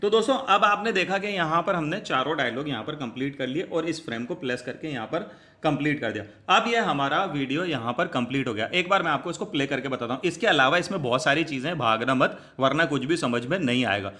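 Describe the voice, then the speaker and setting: A man's voice: on the high side, lively intonation, fast talker, bright, forceful tone. A man presenting a step-by-step app tutorial in a chatty, repetitive, explanatory way.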